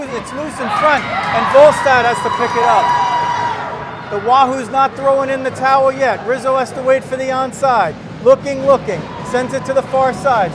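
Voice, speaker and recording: Male play-by-play commentator, high voice, clipped hockey calls, very loud crowd behind. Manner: clipped running calls of the action. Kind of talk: live ice hockey commentary. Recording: loud crowd and rink noise. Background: crowd and rink noise.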